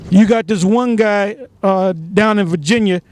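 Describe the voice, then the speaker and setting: A man in an outdoor preaching discussion, chiming in with examples and halting restarts. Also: high voice, darkish tone, no room sound. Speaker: street preacher.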